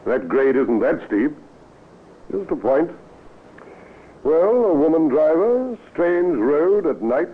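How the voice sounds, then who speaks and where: An actor playing a brisk, musing police superintendent in an old-time radio drama, on a scratchy, tinny recording. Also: male voice, on the high side, big pitch swings, breathy edge, full character voice. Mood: musing, authoritative.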